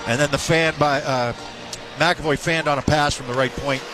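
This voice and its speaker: A man with a fairly high voice serves as color analyst on hockey radio. He breaks down play off the cuff, with clear sound behind him. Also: steady tone, normal speed, music in the background.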